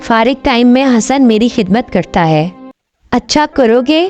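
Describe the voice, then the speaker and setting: A woman playing a gentle, loving mother in a children's moral story, tender and encouraging, on a dull lo-fi recording. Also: on the high side, expressive, a little slow, faint music behind. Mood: gently admonishing. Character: gentle, loving mother reassuring and advising her family.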